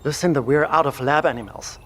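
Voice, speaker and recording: A man's voice: on the high side, fairly quick, fairly bright tone, long reverb tail. An actor playing a wary, skeptical male scientist who pushes back doubtfully in an audio drama.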